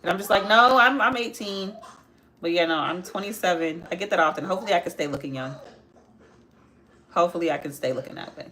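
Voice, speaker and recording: A woman's voice: lowish voice, quick pace, pushed and bright, rough recording. A woman in her twenties hosting a live makeup tutorial, chatting casually with viewers and answering their comments as she works. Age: 20s. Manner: chatty, answers viewer comments while working, repeats phrases. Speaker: makeup livestream host.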